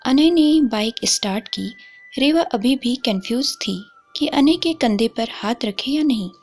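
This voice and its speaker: A woman's voice: on the high side, lively intonation, unhurried, darkish tone, husky. A woman storyteller reading a scripted romantic story. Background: faint music.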